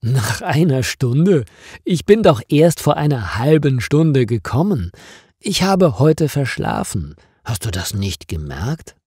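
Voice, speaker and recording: An exasperated, puzzled office woman from a storybook, read by a man. The words come slowly and emphatically, with a mellow tone and lively swings in pitch. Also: husky, no room sound, clean studio sound, full character voice. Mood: confused. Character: Liane, exasperated, puzzled female office worker.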